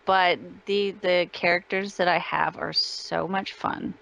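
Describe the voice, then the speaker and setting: A woman's voice: fairly low pitch, fairly even intonation, slow, creaky, a bit bright, poor audio. A woman giving a casual interview as a guest, cheerful and informal in manner. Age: adult parent of a young child.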